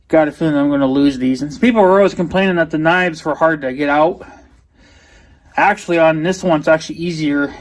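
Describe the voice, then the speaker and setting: Rambling man with a slightly high voice. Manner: rambling, hesitant, self-correcting. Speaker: vlogger showing new purchases. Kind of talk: casual rambling product-unboxing talk.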